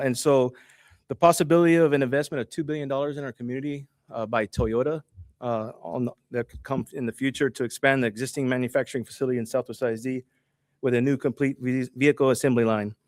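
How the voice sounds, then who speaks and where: A man from the school district speaks quickly at a board meeting. His voice sounds dry and close, and his delivery is brisk with small stumbles. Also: mid-range voice, fairly even intonation, no room sound.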